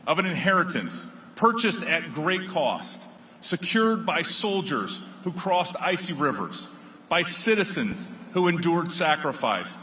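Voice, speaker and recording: A man delivering a formal, rhetorical speech at a ceremony with a solemn, measured cadence. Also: on the high side, bright, forceful tone, a little hiss or hum. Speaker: speaker at a formal celebration.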